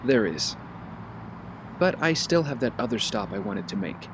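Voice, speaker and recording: A man's voice: fairly low pitch, sing-song, normal speed, noisy background. A man playing an earnest young vigilante in an audio drama, casual with a wry edge.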